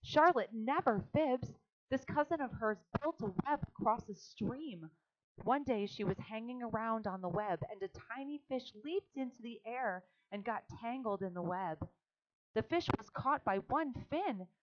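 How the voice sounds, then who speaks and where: A woman's voice: fairly high pitch, expressive, a little slow, lo-fi sound, voice pushed up. A woman voicing an earnest, imaginative young farm girl who talks eagerly and insistently. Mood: excited.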